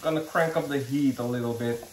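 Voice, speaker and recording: A man with a Dutch accent, Dutch his first language, explains a home recipe in a relaxed, halting way full of fillers and restarts. His voice is mid-pitched, and it rings around a roomy kitchen from a little way off the mic. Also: far from the mic, slight background noise. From Suriname. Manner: friendly, hesitant, many fillers and restarts. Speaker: cooking channel host. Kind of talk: casual home cooking demonstration.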